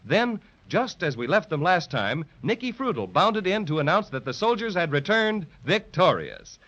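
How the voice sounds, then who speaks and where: A man's voice: high-pitched, sing-song, moderate pace, a bit bright. A man giving scripted narration for a children's radio serial in 1930s style. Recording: muffled, dull 1930s radio recording.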